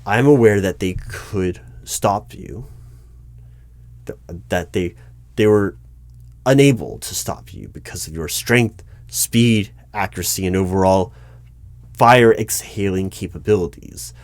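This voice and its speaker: Deep-voiced, slow, unflappable secret-bureau interviewer played by a man, formal and deadpan, for an ASMR roleplay. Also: no echo. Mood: stern. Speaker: interviewer in a one-sided roleplay. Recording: clear noise with keyboard typing. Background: computer keyboard typing and clicks.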